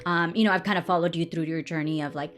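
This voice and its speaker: A woman's voice: lowish voice, steady tone, quick pace. Chatty young woman hosting a podcast. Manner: rambling, upbeat questions full of "like". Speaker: podcast host. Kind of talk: casual podcast interview.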